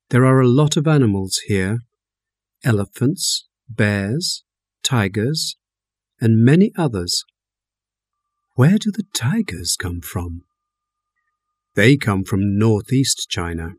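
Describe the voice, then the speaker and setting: Man reading a textbook dialogue, slowly, husky. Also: medium pitch, no room sound, studio quality. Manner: careful, clearly articulated, animated intonation. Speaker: textbook audio reader. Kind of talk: slow scripted dialogue reading for learners.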